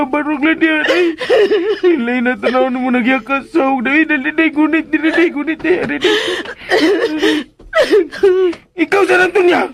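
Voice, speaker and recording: A man's voice: very high-pitched, vocal fry, fairly bright tone, full character voice. A man in a radio drama playing a put-upon household servant with a whimpering, pleading manner. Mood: anxious whimpering.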